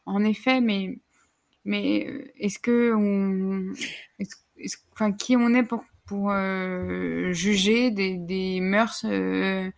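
A woman in a casual podcast discussion, thoughtful and halting, often searching for her words. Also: medium pitch, fairly even intonation, vocal fry. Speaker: podcast co-host.

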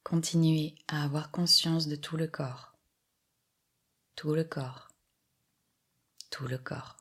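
A woman guiding a yoga meditation with soft, soothing scripted instructions and long pauses. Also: sits low, steady tone, husky. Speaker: yoga nidra relaxation guide.

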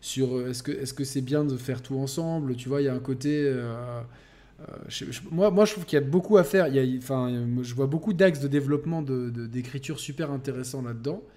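A man hosts a live gaming podcast, thinking aloud with plenty of hesitations and bursts of enthusiasm. His voice has a middle pitch and a clean recording. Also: fairly quick, warm tone. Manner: enthusiastic, hesitant with many fillers, thanks donors. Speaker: podcast host. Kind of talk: casual chat.

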